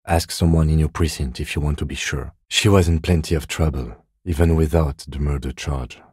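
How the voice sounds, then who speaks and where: An actor playing a calm, methodical middle-aged police lieutenant in a video game, measured, understated and dryly wry, with a husky voice. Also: male voice, rumbling low, dry recording, studio quality, heavily acted. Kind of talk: video game character dialogue.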